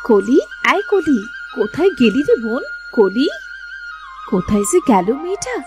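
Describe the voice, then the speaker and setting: Cartoon big sister, voiced by a woman: dutiful, fretful, motherly, high animated voice with faint music. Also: moderate pace. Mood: worried, calling out. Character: responsible, caring elder sister in a village.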